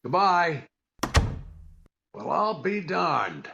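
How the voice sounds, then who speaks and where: An American man playing a long-suffering comedian husband in 1940s radio comedy style, dry and incredulous with a gravelly voice. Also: on the high side, very animated, extremely slow, bright, forceful tone, muffled recording, full character voice. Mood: baffled.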